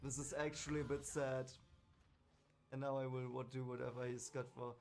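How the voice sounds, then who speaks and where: A man giving casual, reactive gameplay commentary, excitable, repeating words and talking trash at the game. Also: mid-range voice, normal speed. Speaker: gaming video creator.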